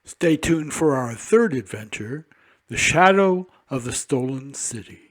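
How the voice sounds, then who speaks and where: A man hosting a classic radio program, announcing in a smooth manner. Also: medium pitch, lively intonation, unhurried. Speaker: program host.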